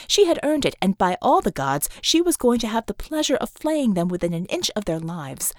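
A woman narrating an action novel as an audiobook, with expressive, dramatic storytelling delivery. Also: fairly high pitch, dry recording, clean studio sound. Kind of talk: dramatic scripted fiction narration.

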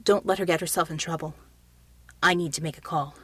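Woman as steady, commanding military man. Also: deep voice, a little fast, slightly rough, dry recording, pitched below the performer's own voice, full character voice. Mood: decisive. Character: steady protective military male helper, quiet but commanding.

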